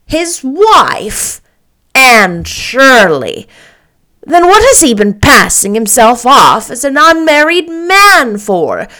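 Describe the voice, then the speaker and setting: A woman's voice: medium pitch, very animated, takes it slowly, a bit bright. A woman doing a stern, blunt older woman.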